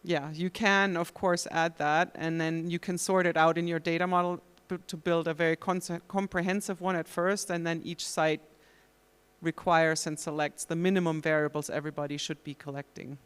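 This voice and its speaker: Panelist, steady and methodical, flat intonation, smooth clear voice, long hall reverb. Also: mid-range voice, moderate pace. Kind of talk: conference panel answer. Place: conference hall.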